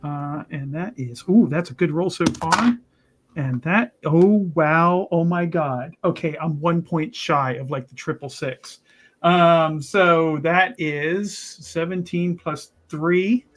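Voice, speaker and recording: Male tabletop player, higher-pitched, casual and unhurried, talking through his character's moves. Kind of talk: casual tabletop roleplay chat.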